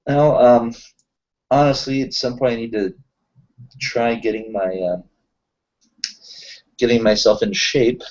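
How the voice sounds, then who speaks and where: A man's voice: medium pitch, steady tone, measured pace, long pauses, darkish tone, highs cut off. A man chatting casually and self-deprecatingly as a player in an improvised roleplaying game. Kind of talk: casual improvised in-character chat.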